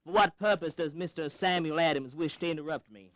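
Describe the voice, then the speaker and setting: A male actor as an obstructive, pompous congressman in an audio drama. His voice runs somewhat high, and he speaks in formal, self-important debating phrases. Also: brisk, fairly bright tone, lightly acted. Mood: pompous, objecting. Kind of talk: historical audio drama acting.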